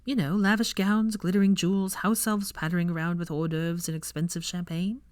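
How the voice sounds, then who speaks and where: A woman voicing a prim, quick-witted young witch who banters in pointed questions, in a story reading. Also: on the low side, level tone, darkish tone, dry recording, clean studio sound, lightly acted. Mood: curious, earnest.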